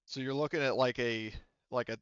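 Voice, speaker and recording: Man, game master, casual halting explanations, smooth clear voice, dry close sound. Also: medium pitch, fairly even intonation, fairly bright tone, dry recording, dull audio. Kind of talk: casual narrating of a tabletop roleplaying game.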